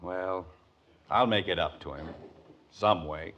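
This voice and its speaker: A man's voice: sits low, takes it slowly. An American man acting a proud, exhausted middle-aged suburban father in a 1950s radio sitcom, complaining with dry exaggeration. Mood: groaning, grumbling. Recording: dull old radio recording, loud audience.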